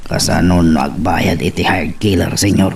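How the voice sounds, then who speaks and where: A man's voice: low-pitched, steady tone, normal speed, vocal fry, husky, heavily acted. A man playing a wary henchman who defers to his master in an audio drama. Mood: wary, deferential. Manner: deferential, hesitant, drawn-in openers. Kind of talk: radio-style Ilocano drama acting. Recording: faint background noise.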